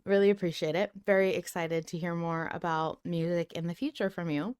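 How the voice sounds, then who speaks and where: A woman with a somewhat low voice hosts a casual podcast. She talks conversationally to listeners and guests. The recording is dry, with very little room sound. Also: darkish tone, clear, resonant tone, dry recording. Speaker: podcast host.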